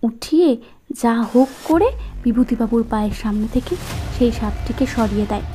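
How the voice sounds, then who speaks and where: A woman's voice: a little high, moderate pace, dark tone, slight background noise. A woman narrating a scripted cartoon folk story as the storyteller.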